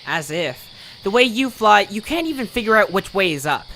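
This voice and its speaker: A man's voice: high-pitched, sing-song, moderate pace, sharp tone, slight background noise, heavily acted. A man voicing a snide, sarcastic, grumbling cartoon creature in an audio drama, needling as he talks. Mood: scornful. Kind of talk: audio drama character acting.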